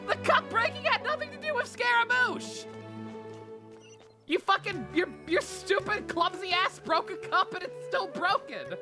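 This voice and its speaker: Sarcastic woman streamer ranting over music. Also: very high-pitched. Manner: animated ranting, sarcastic, swears freely. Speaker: game streamer. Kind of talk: casual live-stream commentary. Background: game music.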